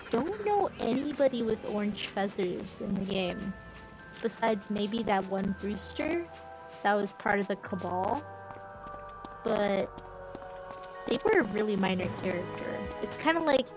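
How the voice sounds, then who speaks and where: A woman's voice: medium pitch, animated, measured pace, pauses often, rough recording. A woman giving casual gameplay commentary as a streamer, thinking aloud as she plays. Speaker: game streamer. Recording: game music under the voice. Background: video game music.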